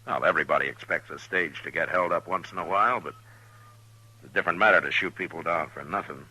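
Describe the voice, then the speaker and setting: An actor playing a steady, watchful marshal in an old-time radio western, speaking in a measured, probing, laconic way. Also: male voice, deep voice, slightly rough, exaggerated voice, low-quality recording. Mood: probing, casual. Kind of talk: old-time radio western drama, partly first-person narration. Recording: old radio recording with music.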